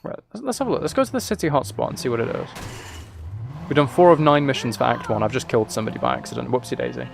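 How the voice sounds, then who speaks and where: A man's voice: mid-range voice, expressive, racing, vocal fry, faint music behind. A man streaming a video game, giving casual commentary with dry, flippant, rambling asides.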